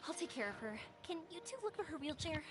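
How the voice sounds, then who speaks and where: A woman playing a kind, reassuring young adventurer in a video game, speaking in a gentle, caring way. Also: sits high, big pitch swings, quick pace, over loud music.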